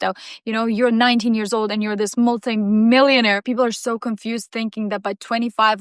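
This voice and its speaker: A woman's voice: a little high, no room sound, clean studio sound. A young woman whose first language is Russian, giving an emphatic motivational talk to camera as a vlogger and repeating phrases for effect. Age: young adult.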